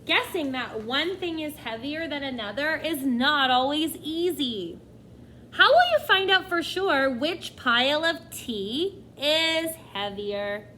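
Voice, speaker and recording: A woman's voice: sits high, bright, forceful tone, slight background noise. A woman teacher reading a nonfiction book aloud to children, expressively, often addressing them directly with questions and asides.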